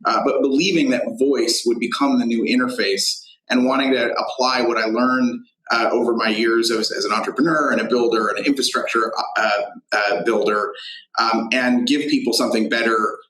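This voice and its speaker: A man being interviewed as a tech founder, explaining his business in halting phrases with frequent pauses. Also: medium pitch, steady tone, fairly bright tone, reverberant, low-quality recording.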